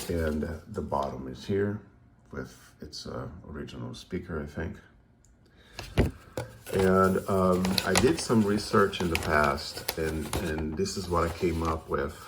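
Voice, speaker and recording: A man giving a casual, unscripted show-and-tell as a hobbyist, hesitant and halting as he thinks aloud. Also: very deep voice, noisy background. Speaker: radio hobbyist presenting a project.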